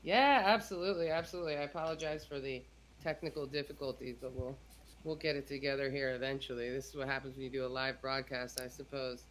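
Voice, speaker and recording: A woman's voice: rumbling low, steady tone, quick pace, slight background noise, poor audio. A middle-aged woman hosting a casual live stream in an informal, effusive way. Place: indoor room, video call.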